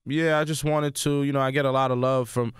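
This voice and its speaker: A young man with a New York accent, originally from Sudan, talking casually as an interview guest in a radio studio. He speaks in a relaxed way and repeats words as he thinks. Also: medium pitch, a little fast, clear, resonant tone, dry recording. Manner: laid-back, repeats words while thinking. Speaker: rapper guest. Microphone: studio mic.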